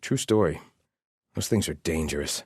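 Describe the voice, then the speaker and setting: A man's voice: extremely low pitch, lively intonation, normal speed, darkish tone, breathy edge, no echo, studio quality, exaggerated voice. A man playing a haughty, devout exiled soldier in a video game, speaking in a stern, disdainful and formal way. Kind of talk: video game character voice lines.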